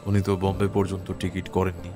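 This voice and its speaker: A man playing a composed detective in an audio drama, speaking deliberately with polite authority and a probing, reasoning manner. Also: low-pitched, completely flat delivery, dark tone, faint music behind, close to an everyday voice. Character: composed, probing male private detective on a case.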